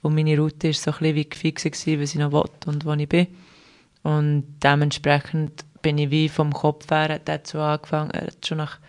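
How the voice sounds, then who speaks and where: A woman's voice: low-pitched, completely flat delivery, normal speed, a little mellow. Chatty Swiss German young woman. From Switzerland. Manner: chatty, rambling, many restarts and fillers. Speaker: podcast guest. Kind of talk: casual conversational interview.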